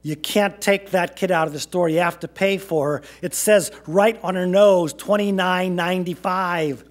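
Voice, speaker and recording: A man's voice: high-pitched, steady tone, moderate pace, natural voice. A man voicing a stubborn, officious store cashier in a children's story. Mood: insistent.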